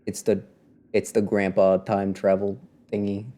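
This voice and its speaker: A man's voice: lowish voice, little pitch movement, measured pace, warm tone. A man streaming a game, giving casual live reactions full of sarcastic, joking asides.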